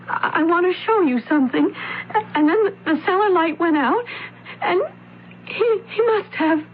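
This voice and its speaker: A woman's voice: very high voice, animated, unhurried. A woman playing an anxious, accusing young heiress, emotional and insistent, in an old-time radio drama. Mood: shaken, pleading. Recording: thin, noisy phone-like vintage radio recording.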